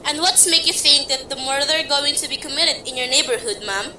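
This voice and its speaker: Radio-play role: a placid, dismissive desk sergeant, read by a woman, high and bright, in an echoey recording. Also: a little hiss or hum. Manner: unhurried, dismissive, matter-of-fact.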